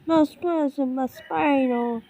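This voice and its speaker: A woman's voice: high voice, slow. A woman improvising a dramatic scenario, speaking in a hesitant, repetitive way. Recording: noisy homemade recording.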